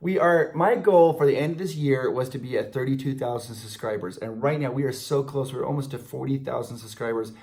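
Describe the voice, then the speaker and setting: A middle-aged man co-hosting a casual fan question chat, telling stories in an animated way. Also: medium pitch, brisk, hardly any pauses. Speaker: co-host of a theme park fan channel. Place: small indoor room, in front of a banner.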